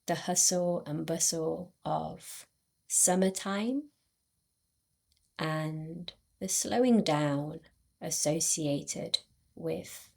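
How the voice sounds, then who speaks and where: A woman guiding a yoga nidra relaxation in a soothing, unhurried way, with long pauses between short phrases. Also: sits low, vocal fry, warm tone. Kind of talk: guided meditation.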